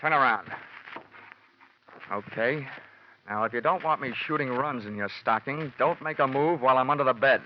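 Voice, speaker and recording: An actor playing a cocky, hot-tempered American insurance investigator in 1940s radio detective style, brash, sardonic and hard-boiled. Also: male voice, mid-range voice, normal speed, long pauses, close to an everyday voice. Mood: tough, taunting. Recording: muffled, noisy vintage radio recording.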